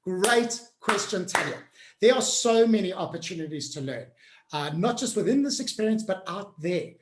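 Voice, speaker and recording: A woman's voice: mid-range voice, lively intonation, normal speed, echoey room. A woman hosting an online workshop on change management. She coaches the group directly in an upbeat, encouraging way and keeps checking in with them.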